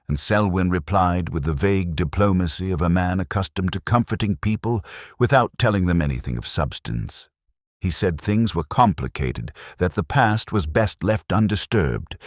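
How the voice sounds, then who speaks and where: A man narrating a literary detective story in the first person, in a measured, reflective way. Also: low-pitched, no room sound, studio quality. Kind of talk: scripted literary mystery narration.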